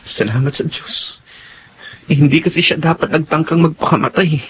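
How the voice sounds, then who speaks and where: Man acting a higher-voiced, worried young brother. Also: normal speed, a little hiss or hum, close to an everyday voice. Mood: worried, earnest. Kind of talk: melodramatic radio drama acting.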